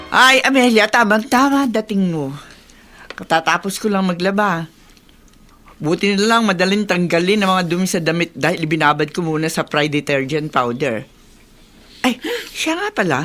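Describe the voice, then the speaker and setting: A woman's voice: on the low side, animated, normal speed, a bit bright, soft background music. An actress playing a chatty, money-minded neighbour woman in a radio soap drama, coaxing and persuasive. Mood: coaxing, upbeat.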